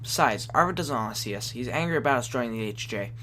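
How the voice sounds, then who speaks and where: A man's voice: medium pitch, lively intonation, quick pace, bright, forceful tone, slight background noise. A man playing a cocky, dismissive young man in an amateur wartime audio drama.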